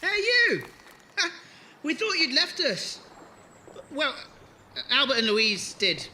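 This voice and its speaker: A woman's voice: sits high, very animated, takes it slowly, bright, forceful tone, slight background noise, full character voice. A woman playing a friendly, shy young settler in an audio drama, eager and easily flustered.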